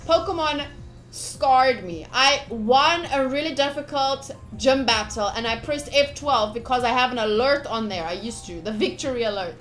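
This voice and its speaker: A woman with a fairly high voice streams a visual novel. She reads the text aloud expressively and chats casually, with an excitable, playfully dramatic way of talking. Game music plays behind her. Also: normal speed. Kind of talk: livestream playthrough, reading game text aloud with casual commentary.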